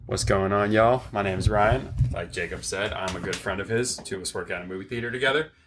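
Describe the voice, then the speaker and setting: A man's voice: on the low side, quick pace, recorded from a distance, noisy background. A man chatting casually as a podcast guest, in a laid-back, slangy way. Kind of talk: casual chat.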